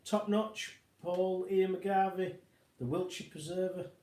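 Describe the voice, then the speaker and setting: A man's voice: high-pitched, fairly even intonation, slow pace, dark tone. A man co-hosting a casual livestream at home, welcoming viewers with dry, joking asides. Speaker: co-host of a motorhome live chat. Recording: roomy echo.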